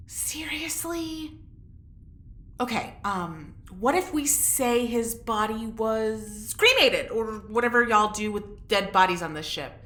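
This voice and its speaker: An actress playing an anxious, sharp-tongued young woman in a sci-fi audio drama, speaking bluntly and informally. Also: a little high, lively intonation, takes it slowly. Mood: scheming, frustrated. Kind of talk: audio drama acting, naturalistic dialogue. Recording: roomy echo, faint background noise.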